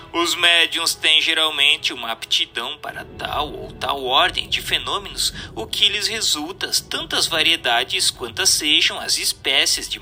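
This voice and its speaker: A man's voice: on the high side, bright, forceful tone. A Brazilian man reading a book aloud as scripted narration, in a formal, didactic and emphatic manner.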